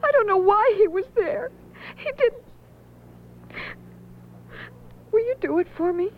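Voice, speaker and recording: An actress playing an earnest, anguished young widow in a radio drama. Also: female voice, very high voice, animated, moderate pace, slight background noise. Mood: anguished, pleading. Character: anguished young widow in her early twenties. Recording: dull old radio broadcast.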